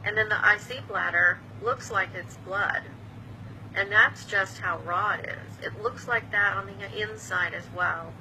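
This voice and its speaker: A woman's voice: medium pitch, a little slow, sharp tone, a little hiss or hum, poor audio. A woman in her fifties, a patient advocate, explaining an illness to camera in a plain, patient way with small restarts. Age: 50s. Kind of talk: earnest explanatory talk to camera.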